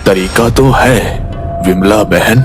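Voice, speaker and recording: A man playing a grave Aghori mystic who makes ominous, deliberate pronouncements of doom in an animated storybook tale. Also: fairly low pitch, over music. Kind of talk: Hindi animated storybook acting.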